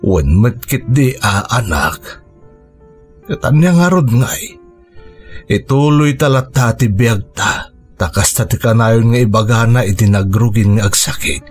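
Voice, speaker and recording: A man's voice: mid-range voice, animated, measured pace, soft background music, lightly acted. An actor playing a grieving, heavy-hearted father consoling his child in a radio drama. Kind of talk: Ilocano radio drama acting.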